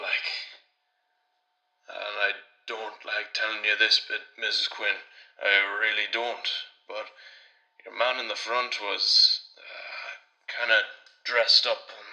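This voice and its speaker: A man's voice: fairly low pitch, sharp tone, reverberant, muffled recording, full character voice. A man playing a neighbourly Irish tradesman who talks in a hesitant, roundabout, deferential way on a radio drama voicemail. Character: neighbourly Irish tradesman, awkwardly breaking worrying news.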